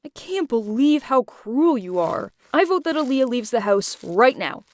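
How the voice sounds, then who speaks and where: A woman's voice: a little high, sing-song, a little slow, no echo. A woman voicing a stern young man who takes charge of a house meeting.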